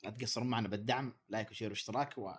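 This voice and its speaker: A young man bantering excitably as host of a challenge video, rapidly repeating his phrases. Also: fairly low pitch, breathy edge. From Saudi Arabia. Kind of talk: rowdy challenge banter with friends.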